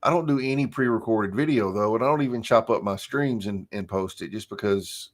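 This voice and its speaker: A man with a croaky voice, a marketing coach chatting as a guest in a relaxed, easygoing way with frequent laughs. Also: fairly low pitch, brisk. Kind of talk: casual chat.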